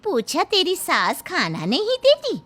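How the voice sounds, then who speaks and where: A woman's voice: extremely high pitch, huge pitch swings, unhurried, a bit bright, exaggerated voice. A woman playing a nosy, gossiping older Indian neighbour in a cartoon, with a prying manner. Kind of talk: Hindi cartoon story dubbing.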